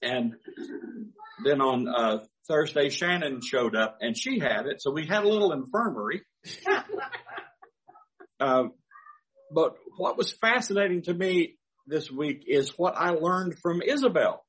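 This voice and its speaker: Older male pastor, folksy storyteller, a bit high-pitched, animated delivery. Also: measured pace, pauses often, rough recording. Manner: folksy storytelling, chuckling asides. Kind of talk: sermon with personal anecdotes.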